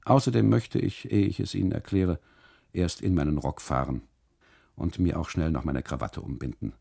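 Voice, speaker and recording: Man voicing a gravelly, evasive custodian. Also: rumbling low, normal speed, dark tone, full character voice. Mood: formal, withholding. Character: evasive, fussy male custodian of a Sicilian tower. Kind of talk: radio play monologue, quoted dialogue.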